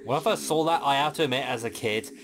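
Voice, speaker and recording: A man talks casually over a game as a commentator. His voice sits at a middling pitch, and he explains things informally with joking asides. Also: fast talker, a crowd in the background. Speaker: gaming commentator.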